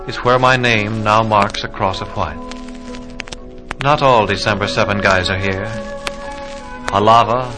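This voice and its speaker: A man's voice: on the low side, close to an everyday voice, rough recording. A man as a wistful young fallen army private. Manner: plainspoken, reflective. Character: wistful young army private, killed in war, telling his story. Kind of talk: 1940s radio drama acting, verse monologue. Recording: vintage 1940s radio, music behind.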